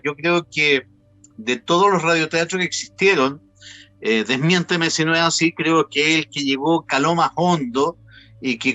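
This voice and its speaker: Chilean male host, slightly high and bright, informal and slangy, music behind him. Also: no room sound. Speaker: interview show host. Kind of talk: casual interview chat.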